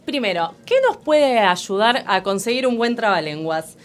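Lively Rioplatense woman teacher. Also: medium pitch, moderate pace. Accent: Rioplatense Spanish. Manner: lively, laughs often, coaxing children. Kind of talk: playful educational broadcast chat.

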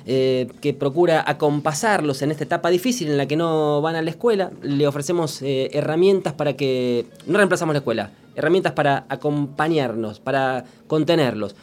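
A man with a Rioplatense Spanish accent hosting an educational broadcast, teasing and bantering quickly with the teacher. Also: fairly high pitch, darkish tone, slight background noise. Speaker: host. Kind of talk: casual educational broadcast chat.

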